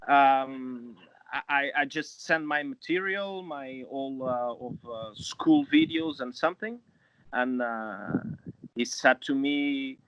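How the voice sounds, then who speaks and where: Portuguese-accented man, halting with fillers. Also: mid-range voice, a bit bright, a little hiss or hum. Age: 20s. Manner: halting, many fillers and restarts. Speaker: guest actor. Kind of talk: casual interview. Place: small room at home, indoors. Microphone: video call webcam. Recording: dull video call audio, dry.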